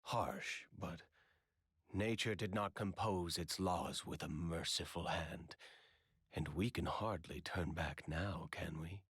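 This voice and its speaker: An actor playing a grave, courteous commander of royal knights in a video game, with measured, formal speech. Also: male voice, sits low, a bit bright, slightly rough, dry recording, clean studio sound, exaggerated voice. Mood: grave, resolute. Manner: measured, formal, archaic diction. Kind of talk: dramatic video game voice acting.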